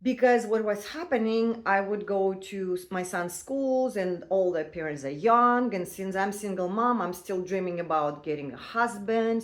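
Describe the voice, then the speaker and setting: A woman in her fifties telling her own story in a casual, halting way, with many fillers and non-native phrasing. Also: mid-range voice. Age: 50s. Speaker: vlogger.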